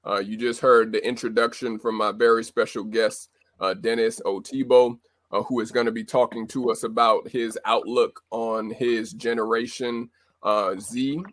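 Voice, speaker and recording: A man hosting a live audio show, upbeat and filling his pauses with "uh". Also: medium pitch, flat delivery, fairly bright tone, no echo. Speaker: host.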